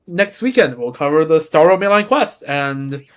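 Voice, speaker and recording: A man's voice: on the high side, expressive, moderate pace. A young man, a native Chinese speaker from Beijing, chatting casually as a game streamer in a rambling, self-correcting way. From China (Beijing).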